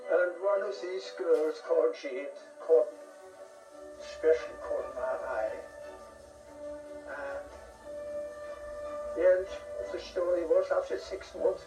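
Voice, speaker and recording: A man's voice: high-pitched, echoey room, rough recording. An older man from Germany with a mixed German and Geordie accent, recalling his time as a prisoner of war in a plain storytelling way. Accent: German and Geordie mix. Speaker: former prisoner of war interviewee. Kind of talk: reminiscing interview.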